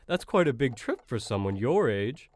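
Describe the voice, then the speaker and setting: A man's voice: medium pitch, very animated, normal speed, a little hiss or hum, natural voice. A man playing dismissive, matter-of-fact supporting characters in an acted audio drama. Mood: reassuring. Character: male supporting characters: teasing boys and a dentist.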